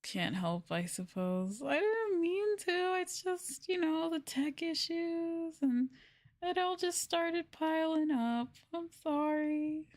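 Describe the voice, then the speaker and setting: A young adult woman chatting casually on a livestream meant as soft ASMR, in a conversational, apologetic venting way. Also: very high voice, expressive, slow. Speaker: streamer, ASMR VTuber.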